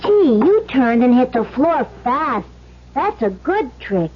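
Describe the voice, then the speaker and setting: An eager little boy voiced by a woman playing cowboy in an old radio drama. The voice is high and lively but slow-paced and wide-eyed, on a dull, noisy vintage recording. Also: heavily acted. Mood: awed, curious.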